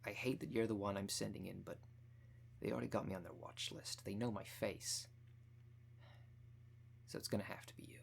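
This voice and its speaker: A man's voice: lowish voice, steady tone, moderate pace, gravelly, long pauses, slight background noise. A man playing a determined, protective undercover investigator in an audio roleplay, talking in a direct, earnest way. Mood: indignant, determined. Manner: direct, earnest, plain-spoken.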